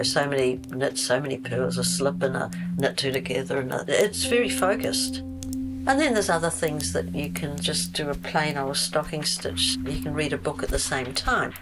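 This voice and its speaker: A woman's voice: on the low side, poor audio. An older woman talking reflectively about her own craft and life, in the manner of a documentary interview. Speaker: knitter and yarn maker. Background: loud guitar music.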